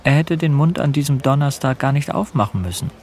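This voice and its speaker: A man's voice: mid-range voice, animated, brisk, a little mellow, slight background noise, close to an everyday voice. A man playing a brooding, forbearing bookseller husband in pensive radio drama monologue. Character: brooding, forbearing married bookseller dwelling on his wife.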